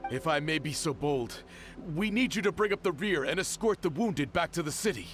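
Subordinate soldier: young, dutiful, deferential, slightly high voice, game acting. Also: male voice, animated, a little fast, a bit bright, faint music behind. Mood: concerned, respectful. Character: respectful, dutiful young male soldier reporting.

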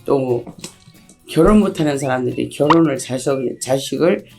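Low, gravelly, hoarse middle-aged woman. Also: normal speed, over music. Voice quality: husky, gravelly. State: hoarse from a cold. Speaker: shaman fortune teller. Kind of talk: interview answers.

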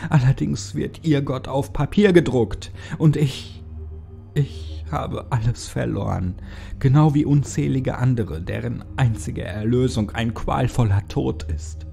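A man's voice: medium pitch, unhurried, vocal fry, dark tone, faint music behind, voice pushed up. A man voicing a despairing, drugged Egyptian man in a horror story, bitter, defiant and impassioned. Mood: furious, bitter. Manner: impassioned, raised, bitter delivery.

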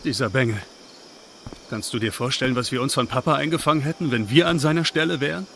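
A young man in a narrative video game, a practical and caring brother under worry. His voice sits at a middle pitch and sounds natural and earnest, with faint night insects behind. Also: fast talker, creaky, fairly bright tone, a little hiss or hum. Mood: worried. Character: Gabe, caring, worried older brother, practical and reassuring. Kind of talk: dubbed video game acting.

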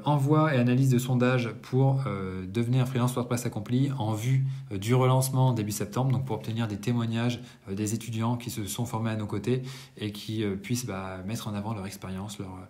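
A man's voice: fairly low pitch, fairly even intonation, a little fast, a little mellow. Hesitant man chatting to camera. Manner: conversational, many hesitations and self-corrections. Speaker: business owner giving a monthly debrief. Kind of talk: casual monthly business vlog to camera. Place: indoors, bright apartment room.

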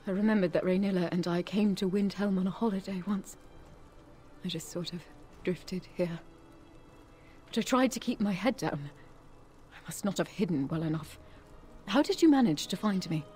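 A woman voicing an earnest, grieving elf forger in a fantasy video game, solemn and measured. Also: lowish voice, mellow, natural voice. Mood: subdued.

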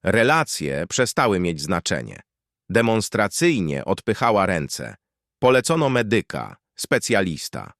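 A man reading a scripted dark horror tale as narrator, in clipped, deliberate, poetic phrases. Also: fairly low pitch, very animated, creaky, no room sound, studio quality.

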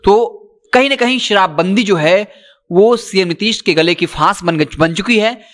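A man. His voice sits high for a man and has a bright, forceful edge. He speaks emphatically and insistently, straight to the audience on camera. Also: a little slow. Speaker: news presenter. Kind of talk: news commentary, reporting to camera. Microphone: handheld microphone.

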